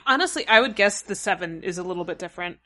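Female podcast host, bright, husky tone, opinionated, conversational delivery. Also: fairly low pitch, expressive, fast. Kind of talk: casual discussion among co-hosts.

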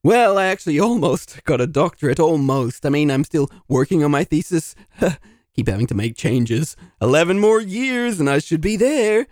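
A melodramatic, self-pitying failed dungeon master, played by a man in a comedy audio drama. His slightly high voice swings in pitch, and the recording is close and dry. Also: animated, moderate pace, darkish tone, no echo, close to an everyday voice. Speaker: narrator.

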